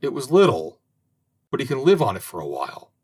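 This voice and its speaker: A man reading an old fairy tale aloud as an audiobook narrator, in a slow, expressive manner. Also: medium pitch, slightly rough. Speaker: audiobook reader. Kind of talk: storybook narration.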